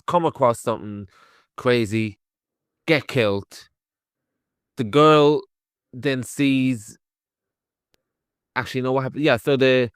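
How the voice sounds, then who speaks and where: A man's voice: mid-range voice, clear, resonant tone, no room sound, clean studio sound. A man with an Irish accent, a podcast co-host giving a casual, conversational film review and thinking aloud as he goes. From Ireland.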